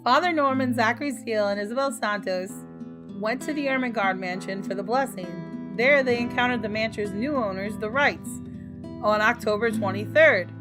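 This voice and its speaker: A woman's voice: a little high, moderate pace. Woman reading over guitar music. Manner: expressive, reacts aloud to the story. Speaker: gaming YouTuber. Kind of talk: reading a visual novel aloud with commentary.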